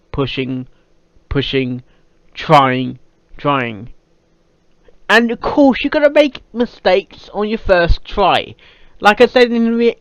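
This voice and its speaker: High-voiced, animated British man reacting. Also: no room sound. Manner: halting, repeats words and phrases. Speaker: reaction video host. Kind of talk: casual off-the-cuff reaction commentary. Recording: dull lo-fi microphone sound.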